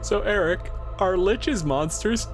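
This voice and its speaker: A man's voice: high voice, slow pace, over music. A man hosting a casual podcast discussion, wry in manner, building his points step by step. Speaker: podcast host.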